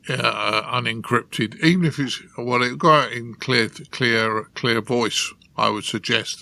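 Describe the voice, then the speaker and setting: A man's voice: mid-range voice, pushed and bright. A man hosting a podcast panel in casual conversation. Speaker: podcast host.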